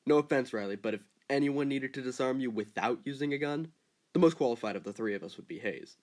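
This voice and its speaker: A man's voice: mid-range voice, fast talker, a little mellow, natural voice. A man playing a stern, distrustful ship captain who speaks bluntly and gives orders. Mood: firm. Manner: blunt, commanding.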